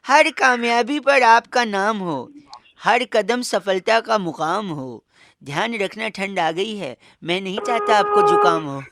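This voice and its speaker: A man's voice: sits high, animated, slow, a bit bright, a little hiss or hum. A man hosting radio prank calls, talking in a teasing, insistent, mock-polite way and sometimes reciting comic verse. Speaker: radio prank-call host.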